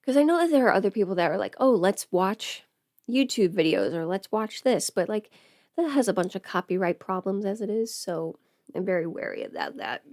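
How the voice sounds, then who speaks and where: A woman chats casually on a livestream as she gets ready. Her pitch sits in a middle range for a woman, and she rambles, breaking off to think aloud. The sound is close and dry, with very little room on it. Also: sing-song, a little fast, a little mellow, no echo. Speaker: livestreamer chatting with viewers.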